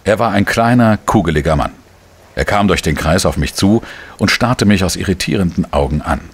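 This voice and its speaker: An actor playing a wry, world-weary journalist who narrates a radio crime drama in a measured, dry manner. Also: male voice, sits low, animated, slightly rough, exaggerated voice. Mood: observant. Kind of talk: scripted first-person crime narration.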